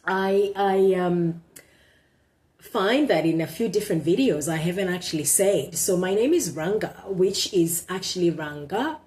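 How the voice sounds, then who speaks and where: A woman's voice: fairly low pitch, expressive, a little slow, darkish tone. A woman from Zimbabwe with a Zimbabwean accent, whose first language is Shona, reading her own blog posts aloud in a casual vlog with a hesitant, reflective manner. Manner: hesitant, many ums and restarts, reflective.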